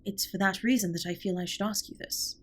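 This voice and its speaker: A woman playing an alien spaceship captain in a comfort audio roleplay, speaking softly in formal, precise sentences with gentle, earnest concern. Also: mid-range voice, fairly even intonation, husky, close to an everyday voice. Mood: concerned, hesitant. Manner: formal, stiffly precise, measured wording.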